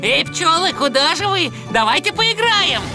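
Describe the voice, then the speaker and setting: High-pitched naive young cartoon truck. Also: female voice, sing-song, unhurried, over music, heavily acted. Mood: playful. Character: naive playful young cartoon tow truck, easily scared. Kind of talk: children's cartoon dub.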